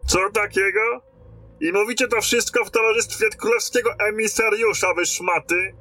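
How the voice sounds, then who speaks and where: Fantasy radio play: male actor as a gruff commanding lieutenant, sharp-edged high voice, brusque and foul-mouthed. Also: fairly even intonation, normal speed, faint music behind. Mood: furious, scornful. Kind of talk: fantasy radio drama acting.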